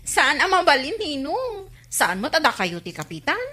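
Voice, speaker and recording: A woman's voice: high-pitched, sing-song, normal speed, bright, forceful tone, heavily acted. A woman playing an earnest, worried young Filipino goddaughter in a radio comedy drama, questioning and protesting. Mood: worried, dismayed.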